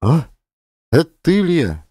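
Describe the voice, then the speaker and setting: A man voicing a weary, kindly old peasant man who talks slowly and plaintively, with sighs. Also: fairly high pitch, big pitch swings, dark tone, breathy edge, no room sound, studio quality, pitched above the performer's own voice, exaggerated voice. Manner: sighing, plaintive, slow.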